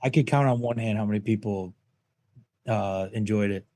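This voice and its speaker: A man's voice: fairly low pitch, normal speed, a little mellow. A man chatting casually as a podcast guest, laid-back and slangy, often putting himself down. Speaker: podcast guest, pro cornhole player. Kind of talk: casual chat.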